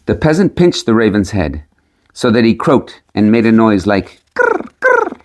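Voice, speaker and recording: A man's voice: fairly low pitch, expressive, moderate pace, darkish tone. A man reading a storybook tale aloud as scripted narration. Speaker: audiobook reader.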